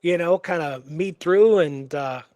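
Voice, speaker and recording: Higher-voiced man co-hosting, close dry sound. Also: measured pace, darkish tone, no room sound. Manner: conversational, often saying "you know". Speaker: co-host of a history show. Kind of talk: casual live history discussion.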